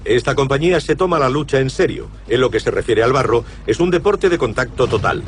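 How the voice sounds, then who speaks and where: A man's voice: medium pitch, lively intonation, fairly quick. Male documentary narrator over prominent music. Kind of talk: scripted narration.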